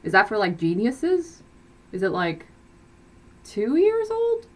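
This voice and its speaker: A woman's voice: a little high, wildly swooping pitch, slow pace. A young woman from South Korea, a native Korean speaker, chatting casually on a live stream from her bedroom, rambling with many fillers and restarts. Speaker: livestream host. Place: bedroom with blackout curtain.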